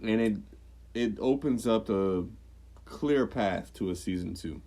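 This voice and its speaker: A man co-hosting a podcast, chatting casually, swearing freely and jumping into squeaky mock voices. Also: measured pace, dark tone. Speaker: podcast co-host. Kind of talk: casual chat.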